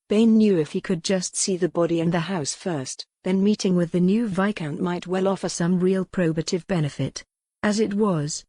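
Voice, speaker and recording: Woman, audiobook narrator, mellow, dry close-mic recording. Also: fairly low pitch, no room sound. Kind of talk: scripted narration.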